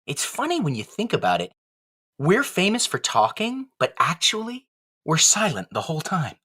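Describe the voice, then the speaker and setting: A wistful, philosophical ventriloquist dummy in a comic audio play. The voice is husky and swings widely in pitch. He muses plaintively, like a dreamer. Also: wildly swooping pitch, moderate pace, pushed and bright, full character voice. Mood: wry, glum.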